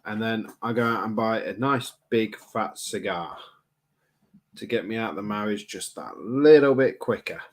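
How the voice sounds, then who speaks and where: Man co-host, husky, lowish voice, dry teasing humour. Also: normal speed. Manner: joking, teasing. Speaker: livestream co-host. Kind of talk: casual banter.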